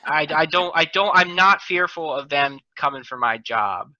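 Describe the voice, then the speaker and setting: A man's voice: fairly high pitch, expressive, moderate pace, pushed and bright. A man hosting a casual comedic panel chat with a wry, mock-boastful manner. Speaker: podcast host; lawyer. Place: remote video call. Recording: dull video-call audio.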